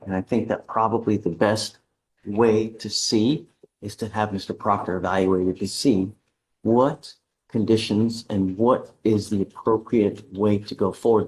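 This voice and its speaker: A man speaking as a judge at a courtroom hearing, measured and deliberate, stern but patient. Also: fairly low pitch, fairly even intonation, slight background noise, low-quality recording.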